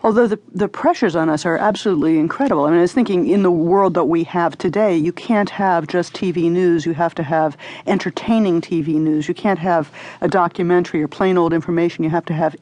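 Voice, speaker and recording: A woman's voice: fairly low pitch, fairly quick, hardly any pauses, a little mellow, dry recording. A woman working as an interviewer, asking questions and offering observations in a conversational style.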